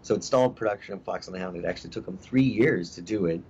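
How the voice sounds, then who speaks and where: Man, middle-aged, low voice, rambling guest full of ums, dull lo-fi recording, faint hiss. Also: fast, a little mellow. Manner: rambling, many fillers and restarts. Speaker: actor guest. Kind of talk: casual interview chat.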